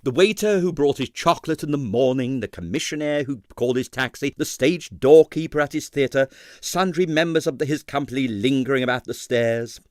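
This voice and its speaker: A man narrating a comic novel, expressive and wry, in a scripted storytelling style. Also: medium pitch, brisk, no room sound, studio quality. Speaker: audiobook narrator.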